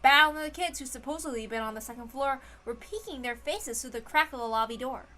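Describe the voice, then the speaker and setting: A woman's voice: high-pitched, lively intonation, a little fast, bright, forceful tone, faint music behind. A woman reading a story aloud as an amateur narrator, informal and given to apologetic, self-interrupting asides.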